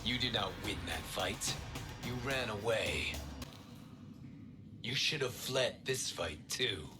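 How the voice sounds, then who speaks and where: An actor playing a calm, wise wind god in a game cutscene, measured and solemn. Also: male voice, lowish voice, over music. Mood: calm, mocking. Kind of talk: video game cutscene acting.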